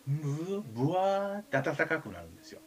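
A man's voice: fairly high pitch, big pitch swings, fairly quick. A man with a Kansai accent chatting casually and telling anecdotes, acting out the exchanges he quotes. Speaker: radio show talker, writer.

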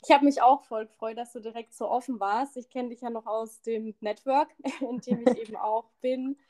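A woman's voice: on the high side, fairly even intonation, moderate pace, dark tone. A woman with a Franconian accent hosting a podcast, talking in an upbeat, friendly way with frequent laughter. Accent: Franconian German. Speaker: podcast host. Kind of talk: casual interview chat.

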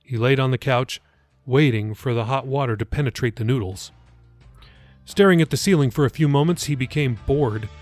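A man narrates fiction in a scripted audiobook style at a medium pitch. The recording is dry and close, with some music behind him. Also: normal speed, darkish tone, no room sound. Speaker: author reading his own novel, podcast host.

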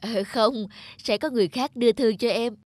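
An actor voicing a dutiful young rural postman in an animated folk tale, gentle, polite and reassuring. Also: medium pitch, a little fast, heavy background noise, natural voice. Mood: hesitant, gentle.

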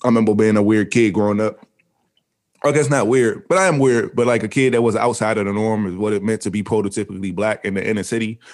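Low-voiced animated podcast co-host man. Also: quick pace. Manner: animated, rambling, laughs often. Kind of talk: casual podcast chat.

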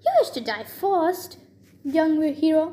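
A storybook giant acted out by a woman in a high voice. He is hungry and monstrous, and he gloats as he taunts. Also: expressive, a little slow, mellow. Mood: taunting.

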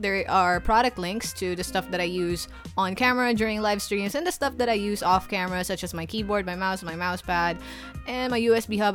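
A woman's voice: mid-range voice, a bit bright. A young woman from the Philippines hosting her own podcast, talking in a chatty, rambling way with lots of fillers and restarts. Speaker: podcast host. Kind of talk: solo podcast monologue.

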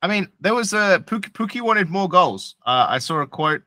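A man co-hosting a casual sports podcast, excitable and quick to laugh. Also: sits high, no echo, clean studio sound. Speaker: podcast co-host.

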